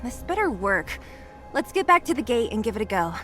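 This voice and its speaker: A woman voicing a spirited, earnest young fighter in an anime-style game, expressive and confident. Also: on the high side, a little fast. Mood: determined. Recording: faint music behind.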